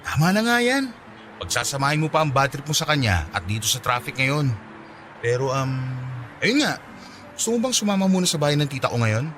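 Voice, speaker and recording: A man's voice: on the high side, sing-song, fairly quick. Eager sweet young pinoy guy in a radio love drama, voice swings up and down, faint music. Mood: reassuring. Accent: Filipino. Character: eager, caring young male office worker, shyly smitten. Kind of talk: radio drama acting in Taglish.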